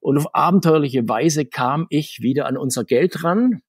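A man's voice: on the high side, moderate pace, slightly rough, no room sound, studio quality. A middle-aged man telling anecdotes as an interview guest, chatty and digressive, often restarting his sentences. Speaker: podcast guest, comics author.

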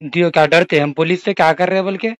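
A man's voice: fairly high pitch, fairly even intonation, racing, a crowd in the background. A man with a Hyderabadi accent arguing insistently and confrontationally as a caller on a recorded phone call. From Hyderabad, India. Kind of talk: heated argument on a phone call.

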